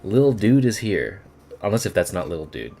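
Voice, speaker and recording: A man's voice: fairly low pitch, sing-song, brisk, gravelly, dark tone. A man streaming a game with casual live commentary, exclaiming and musing aloud to himself. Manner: exclaims and muses aloud to self. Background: game music.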